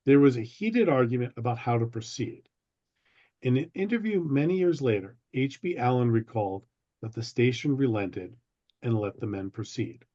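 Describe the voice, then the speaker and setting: A man with a mellow, relaxed voice presents a prepared history lecture. He speaks in a deliberate, storytelling way at a middling pitch. The sound is a little dull, as on a video call. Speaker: presenter giving a history talk. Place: online video meeting.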